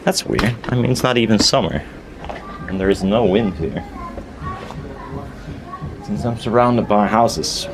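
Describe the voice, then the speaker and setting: Low-voiced man muttering over noisy workshop chat. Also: unhurried, long pauses. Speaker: DIY maker filming a build video. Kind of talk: casual workshop chat, thinking aloud while working. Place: outdoors in a garden. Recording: noisy outdoor garden recording.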